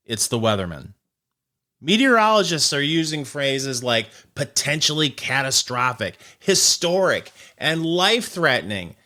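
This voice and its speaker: A man's voice: mid-range voice, bright, forceful tone. A man hosting a scripted comedic news monologue, with a wry, sarcastic manner and punchy, emphatic phrasing. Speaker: host of a short comedic news commentary show.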